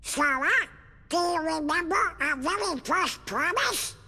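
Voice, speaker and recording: A man voicing a small, excitable cartoon duck with a squawky, quacking voice in a video game. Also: very high voice, measured pace, full character voice. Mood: eager. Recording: long hall reverb.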